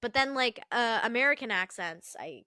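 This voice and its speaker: A young woman chatting on her podcast, telling stories with a casual swear here and there and quick laughs. Her voice is bright and forceful. It is recorded close and dry, with no room sound. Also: a little high, lively intonation, no room sound. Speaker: podcast co-host. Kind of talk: casual chat.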